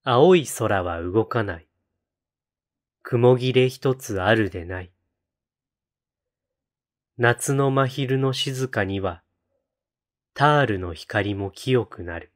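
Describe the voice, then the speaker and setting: A man reciting poetry in a solemn style, with measured, deliberate phrasing and pauses between lines. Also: medium pitch. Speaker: poetry reader on a read-aloud channel.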